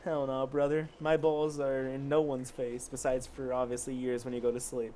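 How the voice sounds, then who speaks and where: A man's voice: mid-range voice, flat delivery, normal speed, warm tone, slight background noise. A man casually reading fan fiction aloud as an amateur story reader, stumbling over the text and correcting himself.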